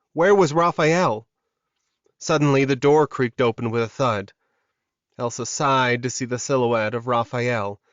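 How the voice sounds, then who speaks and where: A man's voice: mid-range voice, dry recording, lo-fi sound. A man reading scripted fiction as an audiobook narrator, in a dramatic storytelling style.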